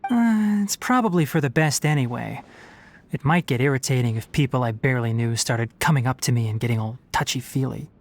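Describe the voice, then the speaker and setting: A woman voicing a witty, scholarly young fox-eared forest ranger in a game, speaking articulately with a lecturing, gently teasing manner. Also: a bass voice, brisk. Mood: casual, teasing. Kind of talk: scripted game character voice lines.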